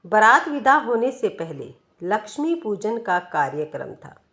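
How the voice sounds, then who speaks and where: A woman reading a scripted first-person story in a measured, expressive way, with pauses. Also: medium pitch. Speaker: storyteller, narrator.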